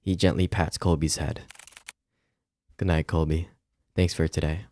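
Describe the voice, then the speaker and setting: Deep-voiced man streaming a game. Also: little pitch movement, measured pace, mellow, no echo. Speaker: streamer playing a visual novel. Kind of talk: casual gameplay commentary and reading game text aloud.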